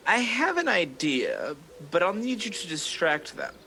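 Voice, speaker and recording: A man's voice: sits high, huge pitch swings, vocal fry, sharp tone, slight background noise, exaggerated voice. A man playing a bitter, vengeful fallen angel who schemes as king of demons, theatrical and menacing in a radio play. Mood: scheming contempt. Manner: theatrical, menacing, drawn-out emphasis. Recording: echoey, reverberant hall effect.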